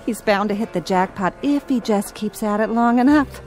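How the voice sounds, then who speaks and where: An actress playing a polite, worldly casino cashier in a radio drama. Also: female voice, medium pitch, moderate pace, a little mellow, lightly acted. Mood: wry, knowing.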